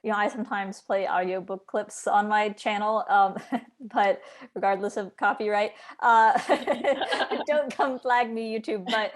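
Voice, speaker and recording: A woman's voice: mid-range voice, measured pace. A woman interviewer chatting casually in a rambling way, often voicing imagined remarks as she explains. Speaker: interviewer, book editor.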